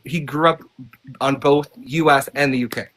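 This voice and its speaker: A man's voice: medium pitch, steady tone, normal speed, fairly bright tone. A man raised in Texas whose first language was Hungarian, chatting enthusiastically on a live stream. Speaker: guest. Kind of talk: casual live stream chat.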